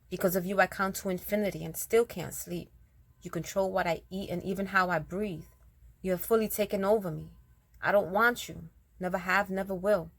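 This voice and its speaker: A woman with a low, naturally raspy voice that sounds a bit rougher than usual today. She talks straight to her listeners in an earnest, direct way and recites her own spoken word poem. The recording is dry and close, with no room sound. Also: no echo. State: raspier than usual, throat slightly rough.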